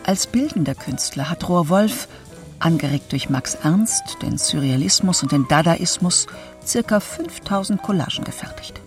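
Woman narrating a literary radio piece, formal and measured, reads out quotes, faint music behind. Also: on the low side, lively intonation. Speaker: second narrator of a radio feature. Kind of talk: scripted literary narration, reading quotations.

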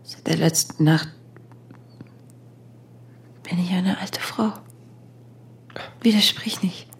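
Radio drama wife: wounded, weary, resolute woman, low breathy whisper. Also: takes it slowly. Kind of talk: German radio drama acting.

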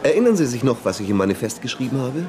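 An actor playing a flamboyant, impulsive surrealist poet in a radio play, talking theatrically and urging others on. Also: male voice, medium pitch, sing-song, racing, a crowd in the background, natural voice. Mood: grandiose, excited.

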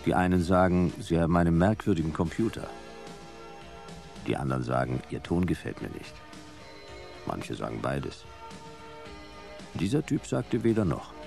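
An actor playing a laconic, world-weary, sardonic private detective in a hard-boiled German radio play, dry and deadpan. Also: male voice, deep voice, moderate pace, vocal fry, long pauses, over music, exaggerated voice.

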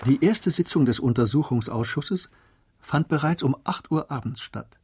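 An actor as the sober, composed narrator of a literary radio drama. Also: male voice, medium pitch, animated, moderate pace, a crowd in the background, background noise, natural voice. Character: sober male storyteller of a classic novel.

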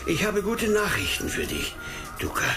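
A man acting a grumpy, stern police chief in a radio crime drama, with a gruff, emphatic delivery. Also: sits high, wildly swooping pitch, normal speed. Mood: matter-of-fact. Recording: studio drama under prominent music.

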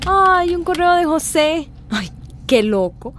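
A woman's voice: very high-pitched, big pitch swings, takes it slowly, background noise, heavily acted. A woman playing a young, anxious, dreamy migrant housemaid in a radio drama, sighing and exclaiming as she muses. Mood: musing, worried. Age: young adult. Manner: sighing, exclamatory, musing to herself. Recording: music behind the voice.

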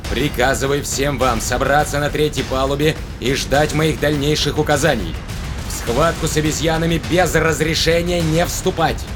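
Sci-fi audio play role: male actor as agitated man in command, highish voice, urgent clipped orders, music underneath. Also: steady tone, moderate pace, natural voice. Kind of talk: audio drama acting.

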